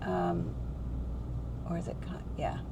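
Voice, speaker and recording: A middle-aged woman vlogging casually, rambling and correcting herself with bursts of enthusiasm. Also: slow pace. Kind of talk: casual chatty vlog. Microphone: small handheld camera mic. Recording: noisy car and street ambience.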